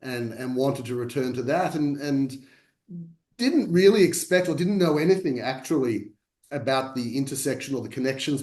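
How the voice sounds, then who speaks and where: A man's voice: medium pitch, darkish tone, reverberant. A man, a translator speaking as a guest over a video call, self-deprecating with many restarts. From Australia. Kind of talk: conversational talk.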